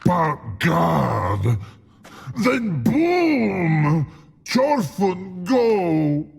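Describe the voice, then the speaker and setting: An actor playing a slow-witted, childlike, sorrowful troll who talks in broken, simple fragments in a video game. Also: male voice, sits high, sing-song, vocal fry, breathy edge, in a large hall, heavily acted. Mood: agitated. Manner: broken, simple speech in short halting fragments.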